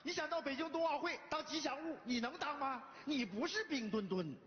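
Exasperated, high-pitched, bossy father. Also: big pitch swings, a little fast. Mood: indignant scolding. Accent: northern Chinese Mandarin. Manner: scolding, dramatic, blunt. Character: exasperated, bossy, wisecracking middle-aged father. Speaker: comedy sketch actor. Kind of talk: theatrical comic sketch acting. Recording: echoey hall, dull sound, faint noise.

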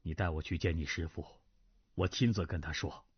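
A man voicing a sorrowful middle-aged nobleman full of regret, speaking low and soft as he reads a martial arts novel aloud. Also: fairly even intonation, moderate pace, husky, dry recording. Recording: dry, slightly dull lo-fi recording.

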